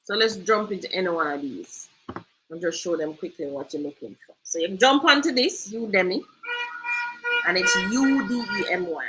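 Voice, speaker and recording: A woman's voice: mid-range voice, sharp tone, slight background noise, rough recording. A Jamaican woman hosting a casual screen-share tutorial, chatty and loose, often asking "right?". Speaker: host.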